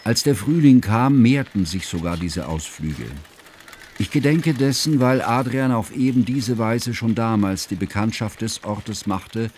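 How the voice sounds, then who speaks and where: An actor playing an earnest, scholarly friend narrating a composer's life in a formal, measured literary radio drama style. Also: male voice, fairly low pitch, animated, a little mellow, a little hiss or hum. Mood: reflective.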